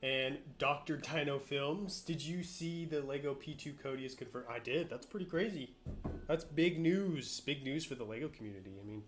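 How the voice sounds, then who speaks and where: A man's voice: fairly high pitch, moderate pace, faint music behind. Sick-sounding man chatting on a live stream. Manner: relaxed, greets chatters by name. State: sick, nasal and throat-clearing. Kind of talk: casual live stream chat, reading viewer comments.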